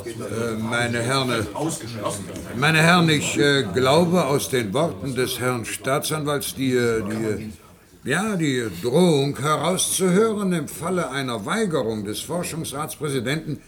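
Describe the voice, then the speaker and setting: A man's voice: medium pitch, lively intonation, measured pace, crowd noise, natural voice. An actor playing a hesitant, formal committee chairman in a radio play, officious and stammering. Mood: hesitant, anxious. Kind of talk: German radio play acting.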